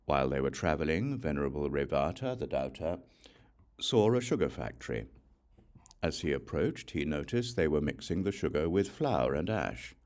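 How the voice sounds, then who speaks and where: Very deep-voiced man narrating scripture. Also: a little mellow. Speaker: audiobook narrator.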